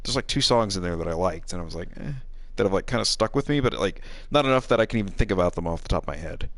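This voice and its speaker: Animated, low-voiced man streaming a game. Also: rapid-fire, dry recording. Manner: sardonic, exasperated asides. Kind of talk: casual live gaming commentary.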